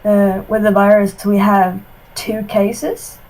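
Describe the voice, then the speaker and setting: A woman from Sweden living abroad, talking on a video call in a relaxed, easygoing way. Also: medium pitch, takes it slowly, rough recording. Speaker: interviewee.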